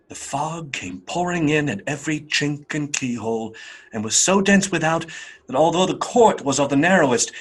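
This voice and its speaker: Radio play narrator, male, mid-pitched and very animated, husky, dramatic and wry storybook delivery. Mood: hushed, atmospheric. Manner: theatrical, emphatic, wry.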